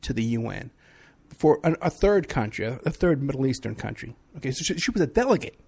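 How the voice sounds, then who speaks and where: A man's voice: medium pitch, very animated, a little fast, gravelly, a little mellow, breathy edge. A man from New City, hosting a talk radio show in a rambling, sarcastic monologue. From New York City. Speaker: radio show host, private investigator.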